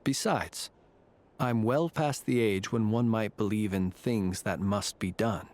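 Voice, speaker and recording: An actor playing a calm, ancient, world-weary fae warrior in a video game, with measured, formal, reflective phrasing. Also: male voice, lowish voice, close to an everyday voice. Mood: grave, measured.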